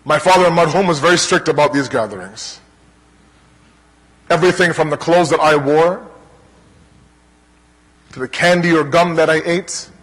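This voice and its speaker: A man from Toronto with a Canadian accent preaching to a congregation in an earnest, rhetorical manner, pausing between deliberate parallel phrases. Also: a little high, a bit bright. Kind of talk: religious lecture to a congregation. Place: large hall, speaking to a gathering. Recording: long hall reverb.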